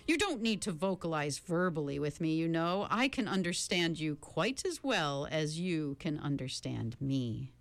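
Villain for a storybook: smooth, mocking man, drawn-out chanting delivery, lively rises and falls, as a woman narrator puts him on. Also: low voice, dry recording. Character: sinister bland man on a chair, mocking.

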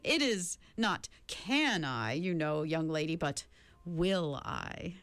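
Villain for a storybook: smooth, mocking man, drawn-out chanting delivery, lively rises and falls, as a woman narrator puts him on. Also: low-pitched, dry recording, heavily acted. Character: sinister bland man on a chair, mocking.

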